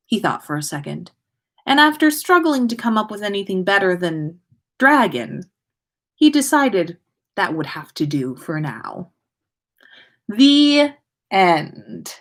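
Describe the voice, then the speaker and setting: A woman hosting a casual story livestream, reading aloud expressively and chatting with listeners. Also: on the low side. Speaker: podcast host reading listener fan fiction aloud.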